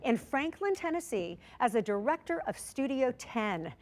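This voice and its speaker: A woman's voice: a little high, sing-song, moderate pace, darkish tone. A woman reporter reading a scripted feature story for television news in a polished storytelling way.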